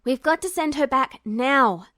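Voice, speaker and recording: A woman's voice: sits high, lively intonation, a little slow, pushed and bright, no room sound, exaggerated voice. An intense young alchemist boy, played by a woman, urgent and impatient. He speaks insistently in a fan fiction audiobook, recorded very dry and close.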